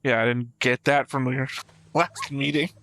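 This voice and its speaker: A man's voice: mid-range voice, fairly even intonation, unhurried, a bit bright, no room sound. A man hosting a reaction show, commenting casually and bluntly as he watches.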